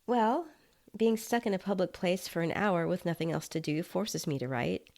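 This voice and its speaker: A woman's voice: fairly low pitch, normal speed, gravelly, a little mellow. A woman voicing a disembodied writer in a short story, uneasy and curious, with a hesitant, polite, questioning manner. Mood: thoughtful. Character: uneasy, curious disembodied writer floating outside own body.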